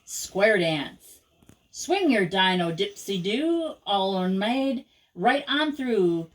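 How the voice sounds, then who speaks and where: An older woman reads a children's picture book in verse aloud. Her voice sits at a medium pitch for a woman. She takes her time, keeping a slow, measured rhythm that leans on the rhymes. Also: sing-song, low-quality recording. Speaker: grandmother reading to children.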